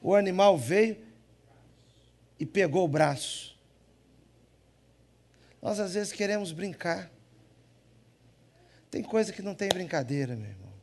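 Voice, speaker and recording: Lively Mineiro preacher with a slightly high voice. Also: male voice, measured pace, pauses often, slight background noise. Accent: Minas Gerais Brazilian Portuguese. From Minas Gerais, Brazil. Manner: jokey, swings between loud emphatic lines and soft asides. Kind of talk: lively humorous sermon. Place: church.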